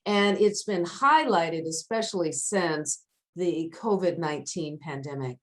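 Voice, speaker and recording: A woman's voice: fairly low pitch, a little slow. Enthusiastic woman hosting an interview. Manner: enthusiastic, encouraging. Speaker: host.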